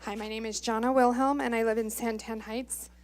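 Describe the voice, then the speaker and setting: A woman's voice: a little high, steady tone, brisk, slight background noise. A middle-aged American woman giving informal testimony as a resident at a public meeting, exasperated and sarcastic, acting out exchanges as she goes.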